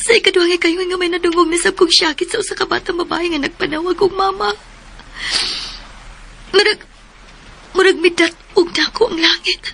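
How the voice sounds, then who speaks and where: Troubled young wife, radio drama, very high voice, bright, pleading. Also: female voice, steady tone, brisk, gravelly, pauses often, slight background noise, heavily acted. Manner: pleading and tearful. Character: troubled young married woman haunted by a child's cry.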